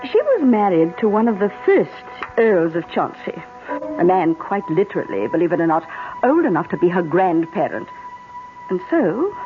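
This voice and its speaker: An actress playing a breezy, dry British aristocratic woman in a 1970s radio drama, dismissive and gossipy. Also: fairly low pitch. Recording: tinny old radio, music underneath.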